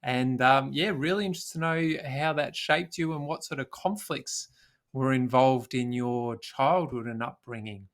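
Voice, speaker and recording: A man's voice: mid-range voice, unhurried. A man podcast co-host asks a long interview question in a hesitant way, with many fillers.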